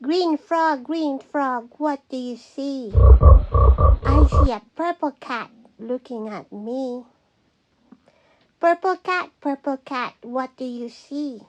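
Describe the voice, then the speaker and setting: High-voiced woman reading very slowly to children. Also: a little mellow, highs cut off. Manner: singsong, deliberate repetitive phrasing. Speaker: teacher reading picture books aloud. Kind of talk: slow children's read-aloud.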